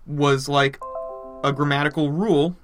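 Twenties guy going on, swearing, opinionated, voice a bit high for a man. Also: steady tone, a little slow, soft background music. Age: 20s. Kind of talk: casual chat.

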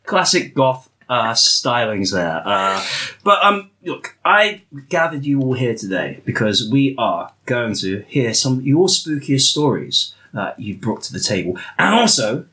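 A man's voice: medium pitch, sing-song, a little slow, bright, forceful tone. Playful, laughing male comedy host. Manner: playful, joking, laughs often. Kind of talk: comedic panel chat.

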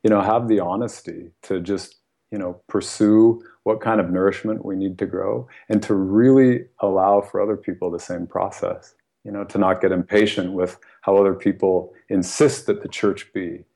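A man's voice: deep voice, steady tone, moderate pace, creaky, mellow. An American man, a guest author, talking earnestly and thoughtfully in a podcast conversation, often laughing and saying "you know". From United States.

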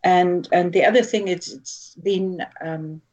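Slow, low-voiced woman. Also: a little mellow, muffled recording. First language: Afrikaans. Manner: hesitant, earnest, many fillers and restarts. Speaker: poet guest. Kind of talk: interview conversation and poetry reading.

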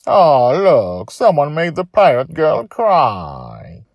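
A man's voice: fairly high pitch, huge pitch swings, takes it slowly, no echo, dull audio, pitched above the performer's own voice, heavily acted. A man voicing mean, mocking schoolchildren in a storybook reading, with a jeering, derogatory manner.

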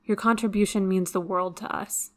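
A woman's voice: mid-range voice, steady tone, moderate pace. Female announcer, mid-range voice, scripted podcast outro and listener appeal. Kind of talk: scripted narration, closing credits.

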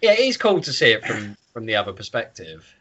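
A man's voice: fairly low pitch, huge pitch swings, a little fast, sharp tone, breathy edge, dull audio. A man co-hosting a podcast, casually discussing a book in an informal, sweary way. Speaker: podcast co-host.

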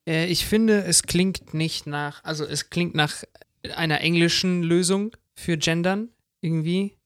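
Male podcast co-host, fairly high pitch, dry recording, brief and laid-back delivery. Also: steady tone, a little slow, fairly bright tone, no echo. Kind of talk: casual conversational podcast chat.

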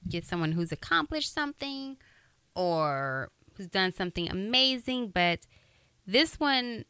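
A woman radio broadcaster hosting a motivational podcast, with a high voice and an upbeat, encouraging way of talking. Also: bright, forceful tone, clear, resonant tone, dry recording.

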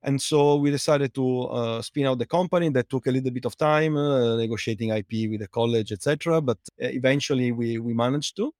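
A man's voice: mid-range voice, brisk, few pauses, darkish tone, no room sound. Italian-accented man, hesitant and dry. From Italy. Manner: hesitant, many fillers and repeated words. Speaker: guest, fouling researcher and company co-founder. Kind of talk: interview answers.

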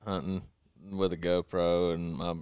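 A man's voice: low voice, little pitch movement, measured pace, a little mellow, clear, resonant tone, dry recording. A young man in his twenties with a Southern US accent, telling his story as a podcast guest. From American South. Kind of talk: casual podcast chat.

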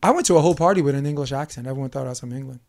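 Gravelly, joking male podcast host. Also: medium pitch, a little mellow. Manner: jokey, rambling, with quick asides and laughs. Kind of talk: casual podcast chat.

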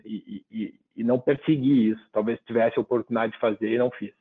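Man, thoughtful guest answers, mid pitch, phone-like narrow sound. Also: fairly even intonation, fast talker, breathy edge. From southern Brazil. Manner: thoughtful, often ends with tag questions. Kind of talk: reflective interview answers.

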